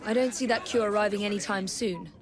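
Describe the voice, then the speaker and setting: An actress playing a serious, disciplined young Victorian assassin in a video game, composed and dry. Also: female voice, medium pitch, fairly even intonation, fast, a crowd in the background, close to an everyday voice. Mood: stern. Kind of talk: video game cutscene acting.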